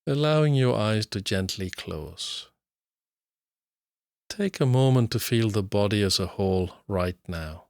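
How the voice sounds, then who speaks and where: Male mindfulness teacher, lowish voice, dry close sound; calm, slow guided meditation delivery. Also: no room sound. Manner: slow, calm, soothing, with long pauses. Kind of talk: guided body scan meditation.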